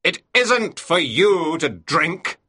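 A harsh, imperious sea captain from a storybook played by a woman reader. The voice is husky and forceful, barking out orders slowly with big swings of pitch. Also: big pitch swings, heavily acted. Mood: angry. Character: stern, angry male sea captain, a harsh disciplinarian. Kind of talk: audiobook character dialogue.

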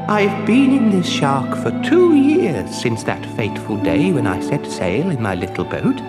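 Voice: medium pitch, huge pitch swings, unhurried, over loud music, pitched above the performer's own voice. A storyteller voicing a little old woodcarver father, tender and amazed, in a faltering, emotional way. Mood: earnest recounting.

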